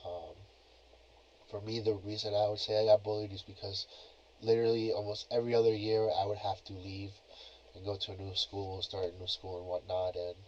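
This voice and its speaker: A young man talks on his own podcast in a relaxed, rambling way, leaning on filler phrases as he goes. His voice sits on the low side. It comes through a cheap plug-in mic that sounds dull and lo-fi. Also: fairly quick, a little hiss or hum. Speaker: podcast host. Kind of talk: casual solo podcast talk. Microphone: cheap plug-in computer microphone.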